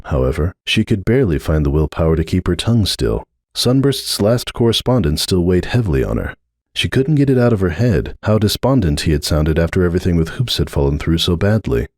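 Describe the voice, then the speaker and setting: A man's voice: low voice, darkish tone, no room sound, studio quality. A man giving a dramatic scripted narration of a story as its narrator.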